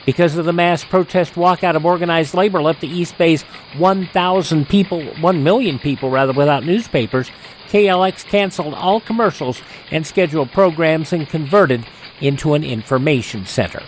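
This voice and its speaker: A man's voice: a little high, normal speed, slight background noise, rough recording. A man working as a radio host, reading scripted news stories and announcing a program.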